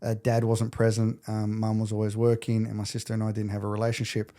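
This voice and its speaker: A man's voice: on the low side, completely flat delivery, fast, warm tone. An Australian man in his late forties chatting casually as an interview guest, candid and jokingly self-deprecating. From Adelaide, Australia. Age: late 40s. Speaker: podcast guest.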